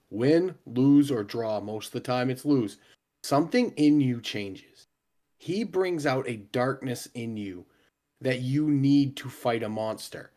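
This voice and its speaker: A man co-hosting a wrestling review, explaining his view in a measured, emphatic way. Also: medium pitch, mellow. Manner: measured, emphatic, building an argument. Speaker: wrestling show co-host. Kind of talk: casual wrestling analysis.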